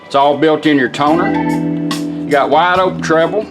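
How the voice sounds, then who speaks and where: Folksy man with a higher-pitched voice. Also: normal speed, music in the background. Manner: plainspoken, folksy, step-by-step instructing. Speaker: product demonstrator and car radio rebuilder. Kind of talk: casual hands-on product tutorial.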